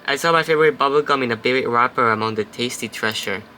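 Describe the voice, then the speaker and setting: Man, bright clear voice, middle pitch, reads game text aloud, casual chatter and swearing. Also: fast, slight background noise. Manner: reads narration, then chats and swears casually. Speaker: let's play host.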